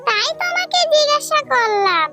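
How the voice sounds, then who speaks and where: Tiny sad cartoon orphan girl, super high voice, pleading and innocent, loud kiddie music behind. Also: big pitch swings, moderate pace. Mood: curious. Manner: plaintive, innocent, childlike. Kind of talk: children's cartoon story dubbing. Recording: children's jingle music behind the voice.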